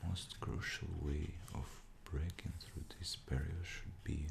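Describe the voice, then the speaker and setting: A man whose first language is Russian, whispering softly in an unhurried ASMR show-and-tell, pausing often with fillers. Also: rumbling low, steady tone, vocal fry, slight background noise. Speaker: ASMR creator.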